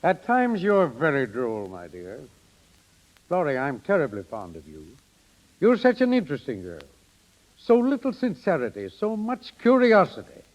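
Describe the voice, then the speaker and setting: A man's voice: on the high side, huge pitch swings, moderate pace. An actor playing a dryly sardonic, unflappable father of grown daughters, in 1930s radio style. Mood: droll, teasing. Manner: droll, ironic. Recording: muffled 1930s radio recording.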